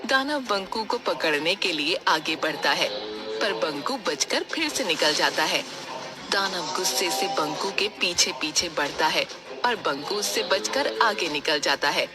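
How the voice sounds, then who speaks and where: A woman's voice: on the low side, lively intonation, a little slow, over music. A woman giving scripted storytelling narration for a cartoon fairy tale.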